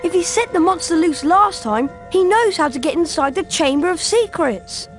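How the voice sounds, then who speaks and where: A woman's voice: very high voice, expressive, moderate pace, faint music behind. A woman voicing an earnest, brave young schoolboy hero in a game, with an urgent manner.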